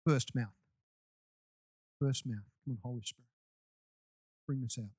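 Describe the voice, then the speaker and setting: A man's voice: mid-range voice, measured pace, warm tone, breathy edge, no room sound, studio quality. A man preaching a sermon to his congregation in a loose, conversational way, with restarts and asides. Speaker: pastor.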